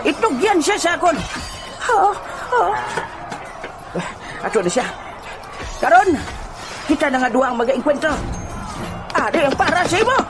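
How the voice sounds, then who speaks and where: A woman playing an excitable leader of sword fighters in a comedic audio drama. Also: high voice, normal speed, pauses often. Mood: boastful, urgent. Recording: loud music bed behind voice.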